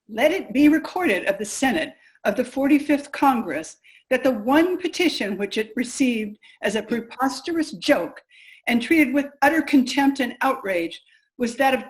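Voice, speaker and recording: A woman's voice: a little high, rough recording. A woman playing an earnest, resolute suffragist, reciting with formal, emphatic oratory in readers' theater.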